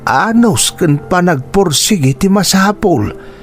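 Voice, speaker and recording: A poor Ilocano family father in a radio drama, weary yet loving. His delivery is earnest and swings widely in pitch, with music playing underneath. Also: lively intonation, takes it slowly. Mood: earnest, encouraging. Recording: music bed behind the voice.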